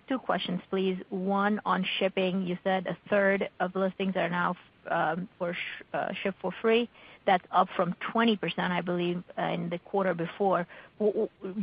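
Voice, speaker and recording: A woman speaking as a financial analyst, asking questions on an earnings call. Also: on the low side. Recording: muffled conference call line.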